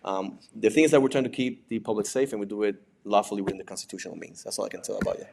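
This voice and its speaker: A man's voice: on the low side, fairly even intonation, rapid-fire, creaky, darkish tone. A man with a Puerto Rican accent, a native Spanish speaker, giving an informal lecture and often checking in with rhetorical questions. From Puerto Rico. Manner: conversational, asks rhetorical questions and tags points with "right?". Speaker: presenter, digital forensics examiner and instructor.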